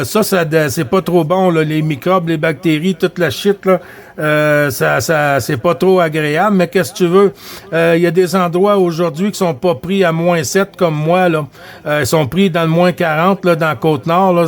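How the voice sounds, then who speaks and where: High-pitched man with a Quebec French accent. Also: creaky. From Quebec, Canada. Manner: rambling, repetitive, colloquial. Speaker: CB radio operator running a contact net. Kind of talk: casual radio chat.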